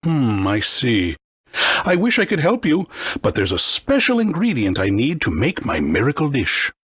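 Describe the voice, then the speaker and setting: Low, husky, singsong cook. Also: female voice, wildly swooping pitch, normal speed, no room sound, clean studio sound, heavily acted. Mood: polite, regretful. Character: polite, regretful bistro cook. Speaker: video game character. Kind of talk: English game dub.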